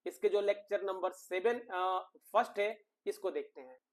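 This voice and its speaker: A man's voice: sits high, normal speed. A man with an Indian accent teaching an exam coaching lesson, explaining emphatically, repeating points and asking rhetorical questions.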